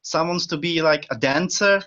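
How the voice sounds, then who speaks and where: A man's voice: on the high side, brisk, pushed and bright. Eager Czech-accented young man, lo-fi. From Czech Republic. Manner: eager, with frequent fillers and short laughs. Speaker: podcast guest. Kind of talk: casual interview chat. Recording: dull lo-fi sound.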